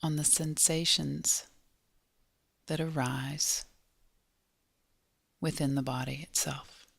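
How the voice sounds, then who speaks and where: A woman leading a guided body scan meditation in a gentle, measured manner, leaving long pauses between short phrases. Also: deep voice, steady tone, gravelly, husky, dry recording.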